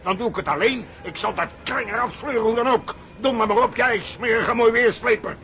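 An actor playing an overwrought, foul-mouthed salvage captain near breakdown in a radio drama. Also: male voice, very high voice, brisk, sharp tone, full character voice. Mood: furious. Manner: cursing, pleading, overwrought. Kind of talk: Dutch radio drama acting.

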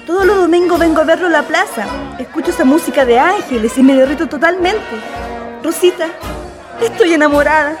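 A woman's voice: sits high, a little fast, close to an everyday voice. A woman playing a lovestruck, dreamy young Chilean woman in a radio play, sighing and gushing theatrically. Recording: music and crowd noise behind. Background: band music and crowd.

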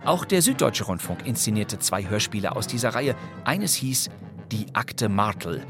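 A man's voice: fairly low pitch, expressive, moderate pace, over music. A man hosting scripted, wry presentations of old radio crime plays in a playful, theatrical storytelling way. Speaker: podcast host.

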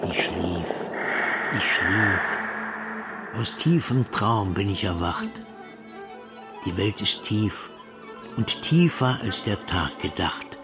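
A man reciting literary texts in a measured, declamatory and solemn way. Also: sits low, over music.

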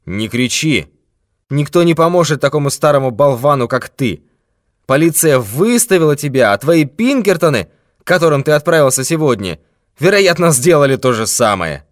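A man voicing a brutal, mocking red-haired robber who shouts threats in a raised, forceful voice. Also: on the high side, big pitch swings, moderate pace, dry recording, studio quality, voice pushed up. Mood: threatening.

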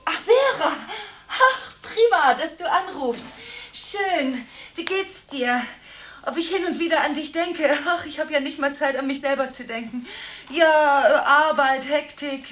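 High-voiced, agitated woman on the phone. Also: a little slow, a little hiss or hum. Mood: flustered, chatty. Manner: breathless, impulsive, swinging between protest and hushed brooding. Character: agitated, contradictory woman in nonstop phone calls. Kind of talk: German radio drama monologue, one side of phone calls.

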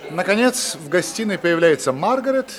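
A man's voice: sits high, sing-song, moderate pace. A man narrating a radio play scene in an emphatic announcing manner.

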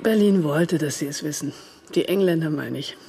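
Mellow, cool, worldly woman in radio drama. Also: low voice, normal speed, gravelly, soft background music. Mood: knowing, matter-of-fact. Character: worldly, shrewd German woman, postwar underground agent. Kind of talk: radio crime drama acting.

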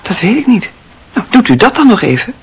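An actress playing a reserved, gently teasing middle-aged hospital nurse in a radio drama, cautious and coolly polite. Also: female voice, on the high side, very animated, fairly quick, breathy edge, exaggerated voice. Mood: cautious, correct.

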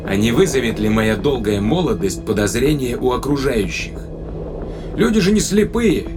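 A wry human accomplice acted by a man in an audio drama, questioning and curious. His pitch swings freely in a colloquial delivery, with music clearly audible behind him. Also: sing-song, normal speed, lightly acted. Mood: curious, doubtful. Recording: music bed under the voice. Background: background music.

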